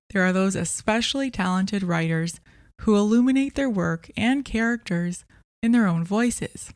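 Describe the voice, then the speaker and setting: A woman's voice: mid-range voice, dry recording. Female podcast host, mid-range voice, scripted review narration, very dry recording.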